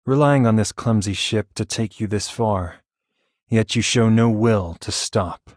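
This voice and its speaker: A stern male hunter out of a video game. His voice is fairly low and slow, with a grave, challenging bluntness. It is recorded very dry. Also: dry recording. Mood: grave challenge. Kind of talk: game character voice acting.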